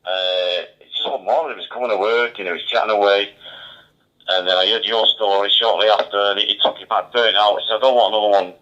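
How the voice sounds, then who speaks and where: A man's voice: fairly low pitch, steady tone, fast talker, sharp tone. A man chatting casually as an amateur radio operator, heard over a two-way digital radio. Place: mobile radio station. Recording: dull, band-limited two-way radio transmission.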